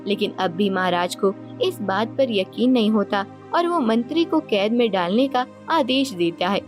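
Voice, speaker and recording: A woman's voice: mid-range voice, lively intonation, measured pace. A woman reading scripted storytelling narration as the narrator of a tale. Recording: loud music bed behind voice.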